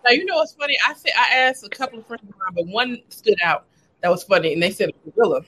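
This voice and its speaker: A woman's voice: on the high side, lively intonation, sharp tone, slightly rough, poor audio. A woman hosting a casual interview, asking playful questions and teasing her guest. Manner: playful, teasing, quick to laugh. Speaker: host.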